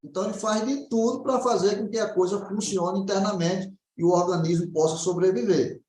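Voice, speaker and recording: Echoey, higher-voiced Brazilian man explaining. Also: a little fast, darkish tone. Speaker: naturopath answering questions. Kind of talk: informal health consultation. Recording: roomy echo.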